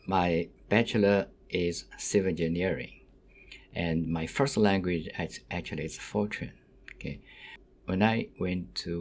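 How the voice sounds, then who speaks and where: Casting: middle-aged male university lecturer, non-native English speaker, deep voice, unhurried and halting delivery to students. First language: not English.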